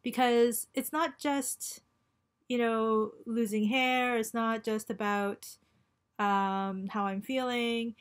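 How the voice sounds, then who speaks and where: Reflective woman in her forties, halting speech. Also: on the high side, steady tone. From born in Japan, raised in Canada. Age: 40s. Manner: halting and reflective, many ums and restarts. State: going through chemotherapy. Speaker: vlogger. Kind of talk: personal video diary.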